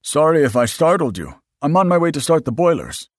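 A man's voice: on the high side, sing-song, a little fast, dry recording, clean studio sound, pitched above the performer's own voice. A man putting on a kindly old hot water seller. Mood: concerned. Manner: concerned, neighborly. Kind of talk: audiobook character voice.

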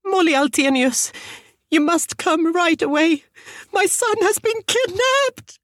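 A woman's voice: very high voice, slow, no room sound, studio quality, voice pushed up. A woman voicing a frantic, hysterical mother of a missing toddler, crying out and pleading in an audiobook story. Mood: panicked.

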